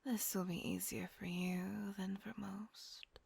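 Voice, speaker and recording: A woman voicing a gentle, protective female vampire caretaker in a whispered audio roleplay, soothing and coaxing. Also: mid-range voice, flat delivery, slow, no echo, exaggerated voice. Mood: tender whisper. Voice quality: soft breathy whisper.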